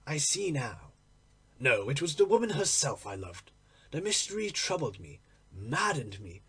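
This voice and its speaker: A man reading a literary story aloud in an expressive, theatrical manner. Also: medium pitch, unhurried, husky. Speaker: audiobook reader. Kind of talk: dramatic literary story narration.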